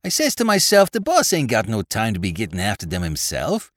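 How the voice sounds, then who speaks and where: A man voicing an excitable, slow-witted male crook from the Bowery who talks in tough street slang. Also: fairly low pitch, dry recording, clean studio sound, full character voice. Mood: wheedling.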